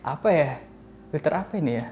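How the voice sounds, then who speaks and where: A woman's voice: deep voice, expressive, fast, a crowd in the background. A woman hosting a casual podcast interview, teasing her guest playfully and laughing along. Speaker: podcast host.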